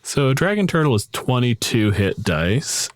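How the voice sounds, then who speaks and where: A man's voice: medium pitch, sing-song, normal speed, no echo. A man talking casually and emphatically about a tabletop game, opinionated and freely profane. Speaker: podcast host.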